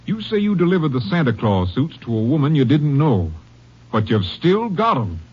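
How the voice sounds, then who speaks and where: An actor playing a skeptical, level-headed Texas Ranger captain with a measured, probing manner in an old-time radio drama. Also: male voice, fairly high pitch, big pitch swings, husky. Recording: muffled, noisy vintage radio recording.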